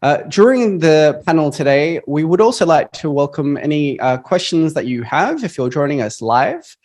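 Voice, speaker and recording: A man's voice: on the high side, very animated, moderate pace, darkish tone. A man hosting an online panel on a video call, upbeat and friendly, with frequent fillers. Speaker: panel moderator.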